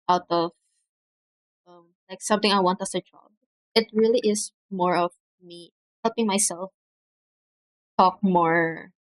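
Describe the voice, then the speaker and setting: Soft-spoken young woman streamer, dry sound. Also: mid-range voice, no echo, poor audio. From Philippines. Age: young adult. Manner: soft-spoken, hesitant, many fillers. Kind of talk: casual livestream chat. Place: home room. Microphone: cheap desk mic.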